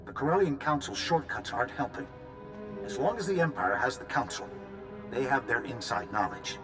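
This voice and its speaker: A man's voice: mid-range voice, big pitch swings, normal speed, over music, natural voice. A man playing a resolute general in a video game, giving an authoritative, businesslike military briefing. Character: resolute male general briefing troops for war.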